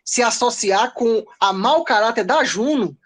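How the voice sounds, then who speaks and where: A woman's voice: fairly high pitch, lively intonation, unhurried, breathy edge. A woman playing a haughty, self-justifying male king of the gods in a pompous, declamatory comedy audio drama. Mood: indignant scolding. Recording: music behind the voice. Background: music.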